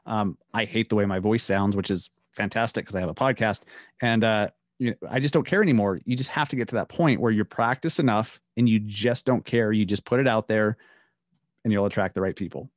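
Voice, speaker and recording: A man in his thirties hosting a podcast, talking in a relaxed, conversational way into a studio microphone at home. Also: on the low side, a little fast, no echo. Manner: relaxed, conversational, brief affirmations. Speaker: podcast host. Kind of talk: casual interview chat. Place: home room with studio mic. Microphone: studio microphone on boom arm.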